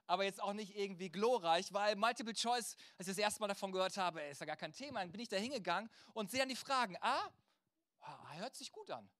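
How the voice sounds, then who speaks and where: Male pastor, high-pitched voice, dry close sound, conversational sermon delivery with rhetorical direct address. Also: expressive, fast talker, a bit bright, dry recording.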